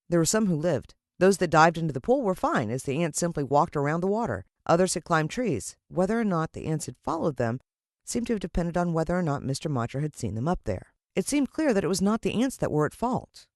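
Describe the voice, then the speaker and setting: A woman's voice: deep voice, darkish tone, no echo, studio quality. A woman with an American accent reading a children's story expressively as an audiobook narrator.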